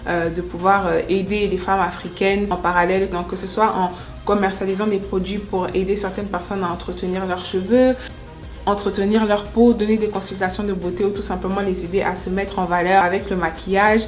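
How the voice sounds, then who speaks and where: A woman's voice: medium pitch, flat delivery, fairly quick. A woman in her twenties, born in France and raised in the United States, speaking as an interviewed entrepreneur in an earnest, explanatory way. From born in France, grew up in the United States. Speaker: entrepreneur and makeup artist being interviewed. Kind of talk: first-person interview testimonial. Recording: music under the voice. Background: background music.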